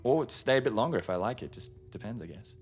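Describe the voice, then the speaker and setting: A man's voice: medium pitch, animated, quick pace, soft background music, close to an everyday voice. A man playing a breezy, confident, carefree traveller in a naturalistic audio drama, speaking casually with easygoing bragging. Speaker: supporting actor in a fiction podcast.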